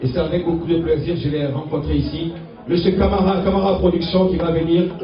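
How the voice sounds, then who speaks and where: A man emceeing a trophy ceremony, calling people to the stage and repeatedly addressing the ladies and gentlemen. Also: on the high side, flat delivery, quick pace. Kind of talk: ceremony announcing. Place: event hall. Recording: distant, echoey, dull hall sound.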